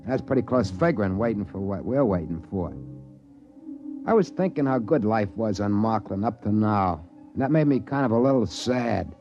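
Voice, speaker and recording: A man's voice: on the low side, expressive, moderate pace. An American actor playing a folksy, easygoing trading-post man who narrates his tale plainly in a 1950s radio drama. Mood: wistful, plain. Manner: plain-spoken, folksy, colloquial. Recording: dull vintage radio recording, music underneath.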